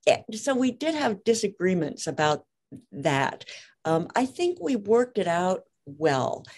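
A woman with a slightly low voice talks through her work in an interview. She chooses her words carefully, qualifying and rewording points as she goes, like someone used to being exact. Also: big pitch swings, measured pace, darkish tone, husky. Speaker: interviewed author and lawyer. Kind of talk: conversational interview.

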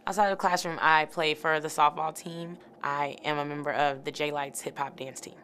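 A woman's voice: low-pitched, flat delivery, moderate pace, fairly bright tone, a little hiss or hum. A young woman, a college student athlete, giving an interview testimonial. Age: young adult.

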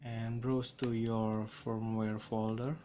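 A man from Indonesia with an Indonesian accent, talking through a software tutorial in a slow, hesitant way with many fillers, recorded on a smartphone. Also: fairly low pitch, level tone, a little hiss or hum. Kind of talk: screen-recorded coding tutorial. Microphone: smartphone camera filming screen.

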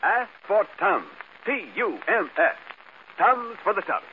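A man's voice: high-pitched, very animated, takes it slowly. A man announcing and reading sponsor copy in polished 1940s radio style. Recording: muffled old radio, faint noise.

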